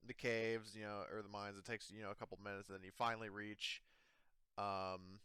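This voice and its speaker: Halting low-voiced male game master, very crisp. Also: level tone, sharp tone, dry recording, studio quality. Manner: halting, casual, self-correcting. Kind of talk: improvised tabletop game narration.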